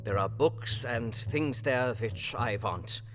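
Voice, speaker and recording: A man playing an earnest, commanding foreign professor-doctor in a gothic audio drama, speaking quaint, formal English in a deliberate way. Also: mid-range voice, lightly acted. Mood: grave, commanding. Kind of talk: dramatised audio reading of a Victorian gothic novel. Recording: long hall-like reverb, music underneath.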